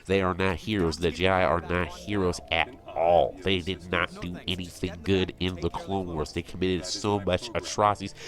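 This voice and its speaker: A man's voice: deep voice, level tone, moderate pace, hardly any pauses, fairly bright tone. A man delivering an opinionated fan rant as an online commentator, insistent, repetitive and exasperated in manner.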